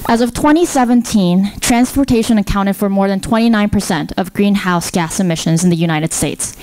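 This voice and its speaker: A woman in her thirties from South Korea and the Philippines, a research scientist giving a prepared talk into a handheld microphone, explaining in a measured way with rhetorical questions. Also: medium pitch, a little mellow, poor audio. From born in South Korea, grew up in the Philippines. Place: indoor stage.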